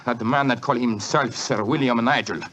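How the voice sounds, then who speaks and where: A man's voice: medium pitch, moderate pace, a bit bright, close to an everyday voice. An actor playing a proud, hot-tempered foreign man speaking broken English with a foreign accent, in radio drama style. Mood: angry. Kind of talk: 1940s radio drama acting. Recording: faintly noisy vintage radio recording.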